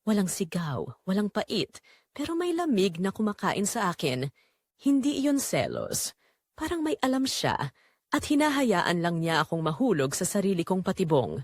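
A woman's voice: medium pitch, very animated, moderate pace, no echo, clean studio sound. A woman playing a guilt-ridden married mother confessing a secret affair, narrating in the first person in an emotive, confessional manner. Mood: guilty, troubled.

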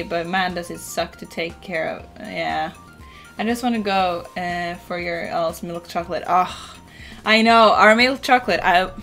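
A young woman from Norway with a Norwegian accent, chatting casually with viewers while playing a farming game at home, full of "like" fillers and the odd swear. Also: on the low side. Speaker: streamer. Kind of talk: casual chat while gaming. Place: home room, indoors. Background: soft game music.

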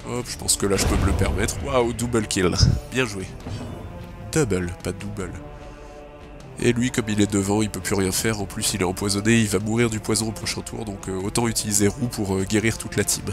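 A man narrates his game run in a relaxed, thinking-aloud way, full of fillers and stray English gaming terms. His voice is low and gravelly, a little stuffed up from a cold. Game music plays clearly underneath. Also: brisk. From French-speaking. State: has a cold. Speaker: let's play gamer. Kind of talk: casual gameplay commentary.

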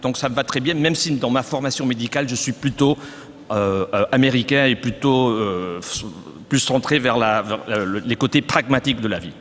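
A middle-aged man with a Spanish accent speaks frankly from a conference panel, stopping now and then to hunt for a French word. His voice sits at an ordinary pitch. It carries a long reverb from a large hall. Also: moderate pace, a bit bright, slight background noise. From Spain. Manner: frank, hesitant, searching for French words. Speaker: panel guest, gynecologist. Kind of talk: panel discussion. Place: conference stage. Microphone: stand mic at table.

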